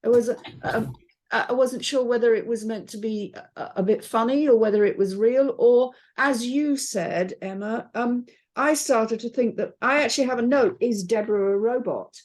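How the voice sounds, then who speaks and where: A woman's voice: fairly high pitch, moderate pace, a little mellow, a little hiss or hum. A woman discussing a script informally in a group, questioning and thinking aloud in a tentative way. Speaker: script panel reader.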